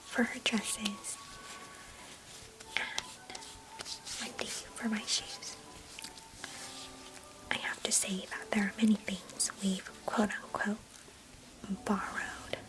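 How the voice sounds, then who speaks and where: A woman's voice: medium pitch, lightly acted, poor audio. Woman playing a whispering, chatty affectionate cousin. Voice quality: breathy, unvoiced whisper. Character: chatty, affectionate, nostalgic female cousin, former ballet student. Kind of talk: whispered ASMR roleplay.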